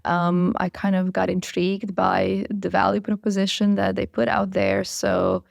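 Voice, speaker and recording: A woman's voice: medium pitch, expressive, measured pace, creaky, no room sound. A woman in her forties from Croatia, a biotech chief executive answering interview questions thoughtfully, with frequent fillers and restarts. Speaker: biotech CEO, guest.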